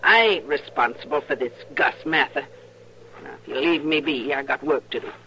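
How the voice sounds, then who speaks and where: Stern, sharp-voiced frontier homesteader wife. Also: female voice, a bass voice, moderate pace, exaggerated voice. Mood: defensive. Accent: rural American West. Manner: curt, defensive, sanctimonious. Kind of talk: old-time radio western acting. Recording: noisy old radio recording.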